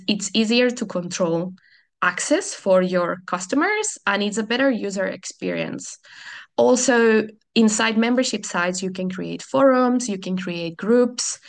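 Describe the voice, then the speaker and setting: A Catalan woman from Barcelona on a video call, hosting a software tutorial webinar and explaining things in a friendly way with frequent ums and restarts. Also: mid-range voice. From Catalonia, Spain. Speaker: customer support webinar host.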